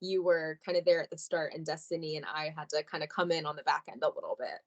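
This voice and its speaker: A woman moderates a webinar over a video call and keeps things organized. Her voice sits fairly low, and she hands off smoothly between speakers. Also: fast talker. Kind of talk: webinar presentation.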